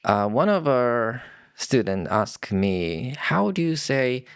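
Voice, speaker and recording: A man with a Japanese accent co-hosting a casual podcast, speaking simple, somewhat halting non-native English. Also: medium pitch, sing-song, clean tone, no echo, clean studio sound. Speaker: co-host of a bilingual English conversation podcast. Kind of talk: casual chat.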